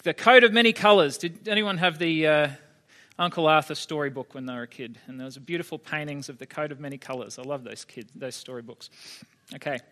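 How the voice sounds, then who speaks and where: Brief: Australian male preacher, thirties, high bright voice, chatty and questioning with the congregation. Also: brisk. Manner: conversational, asks the congregation questions. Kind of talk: sermon.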